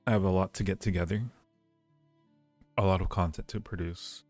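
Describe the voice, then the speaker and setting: Low-voiced man, playful livestream host. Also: fairly even intonation, moderate pace, a little mellow. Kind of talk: casual livestream chat with viewers. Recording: roomy echo, faint music behind.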